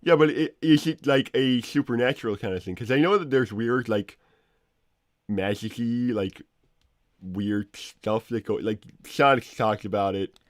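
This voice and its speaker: A man playing a nerdy, moralizing cartoon inventor creature in improvised banter, halting and pedantic, often hedging as he argues. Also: medium pitch, no echo, clean studio sound, lightly acted. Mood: puzzled, rambling. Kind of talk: improvised in-character livestream banter.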